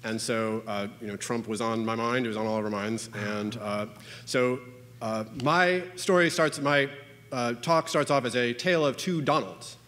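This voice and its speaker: A man giving an informal conference talk, halting and full of "uh" and "you know", with wry asides. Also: medium pitch, a bit bright. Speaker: conference speaker. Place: conference hall. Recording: long reverb of a lecture hall.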